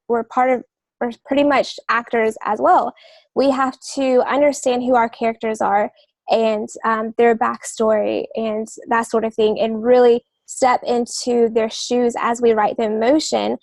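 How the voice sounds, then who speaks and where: A woman's voice: on the high side, vocal fry, dry recording. A woman co-hosting a writing podcast, explaining advice conversationally and often rephrasing as she goes. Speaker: podcast co-host and writer.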